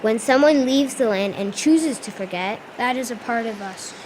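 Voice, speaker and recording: A young female performer playing a wistful spirit of the winds, telling of the past in a solemn, measured way. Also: a little high, a little mellow, slight background noise, natural voice, low-quality recording. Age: child or young performer. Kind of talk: school radio drama acting.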